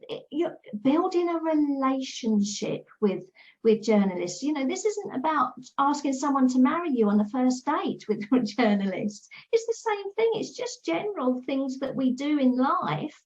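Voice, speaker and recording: A woman's voice: high voice, big pitch swings, moderate pace, a little mellow, highs cut off. A woman talking as a guest expert, giving direct, practical PR advice in a casual chat and often repeating her points.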